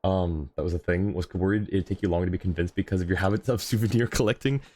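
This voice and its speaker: A man's voice: low-pitched, fast talker, a little mellow. A man livestreaming a game in a casual, chatty way, thinking aloud and talking to his chat.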